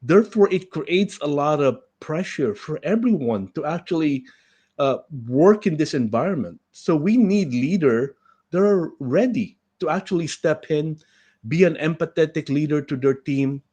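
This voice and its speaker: A man from the Philippines, a leadership coach answering interview questions in an earnest, measured way. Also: on the high side, animated, warm tone, slightly rough.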